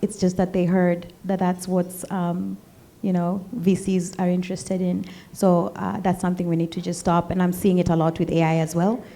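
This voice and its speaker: A woman's voice: on the low side, level tone, normal speed, dark tone. A woman speaking on a panel, direct, with light laughs as she talks. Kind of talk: panel discussion.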